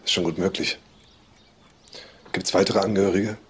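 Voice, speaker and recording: An actor playing a sharp, sceptical police detective in charge, questioning and matter-of-fact, in a German crime radio drama. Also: male voice, deep voice, fairly even intonation, normal speed, slight background noise, natural voice. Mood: subdued. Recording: busy outdoor street background.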